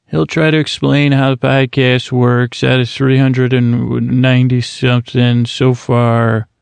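A middle-aged man hosting a sleep podcast, with a creaky voice and a slow, meandering, soothing way of talking full of restarts. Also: mid-range voice, level tone, no echo. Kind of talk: rambling sleepy monologue.

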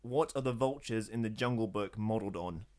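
A man with a lowish voice chats as a podcast co-host. He rambles in a wry, conversational way. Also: a little fast, darkish tone. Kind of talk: casual chat.

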